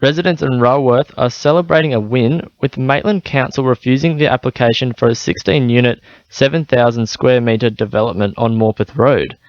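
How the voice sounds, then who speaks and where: A man's voice: medium pitch, moderate pace, no room sound, dull audio. Australian newsman, dry studio sound. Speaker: radio newsreader. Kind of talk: scripted news reading.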